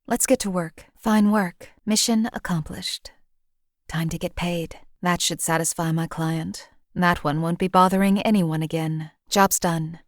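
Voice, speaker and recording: A woman's voice: fairly low pitch, fairly even intonation, a little slow, dry recording, lightly acted. A woman voicing a cold, sardonic female assassin companion and former slave, who speaks in a dry, cutting, precise and condescending way. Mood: businesslike. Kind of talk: game companion voice lines.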